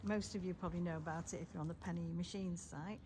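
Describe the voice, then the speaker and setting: Woman, English accent, lowish pitch, informal vlogger chat, tag questions, slight background noise. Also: steady tone, quick pace. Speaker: vlogger filming and presenting. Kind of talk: casual unscripted chat. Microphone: handheld phone or camera, filmed by the speaker. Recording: background noise, handheld camera sound.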